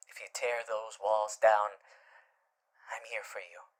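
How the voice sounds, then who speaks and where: An actor playing a gentle, doting demon with showman's charm and courtly manners in a soft, soothing ASMR roleplay. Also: mid-range voice, level tone, takes it slowly. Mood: tender, soothing. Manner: soft, soothing, hesitant, often breaking into whispers. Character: gentle, doting male demon with theatrical showman's charm, comforting a loved one.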